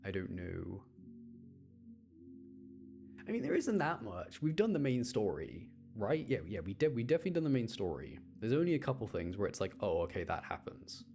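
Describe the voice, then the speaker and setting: A man's voice: fairly low pitch, big pitch swings, moderate pace, vocal fry, darkish tone. A man giving casual gaming commentary on a livestream, thinking aloud in an informal way. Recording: game music behind the voice. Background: video game music.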